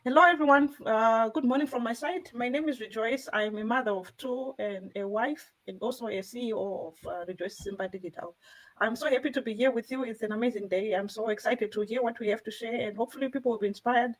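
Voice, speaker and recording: A woman's voice: fairly high pitch, brisk, poor audio. A woman speaking earnestly and emphatically as a co-host on a discussion panel. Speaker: co-host and business owner. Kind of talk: personal account on a discussion panel.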